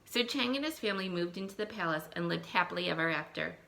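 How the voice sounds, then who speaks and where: A woman teacher reading a picture book aloud to young children, expressive, thinking aloud and putting questions to her listeners. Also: on the low side, fast, vocal fry. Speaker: elementary school teacher.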